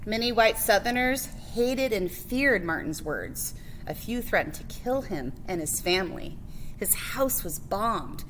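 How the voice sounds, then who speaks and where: A woman's voice: mid-range voice, animated, a little slow, fairly bright tone, slight background noise, rough recording. A woman reading a children's picture book biography aloud as a librarian, phrase by phrase with emphasis on key words.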